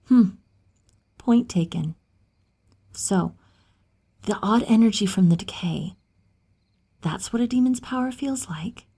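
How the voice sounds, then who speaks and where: A woman acting an inquisitive, determined divine healer and wife in an ASMR audio roleplay, soft and thoughtful, at times whispery. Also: medium pitch, takes it slowly, pauses often, breathy edge. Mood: curious, thoughtful.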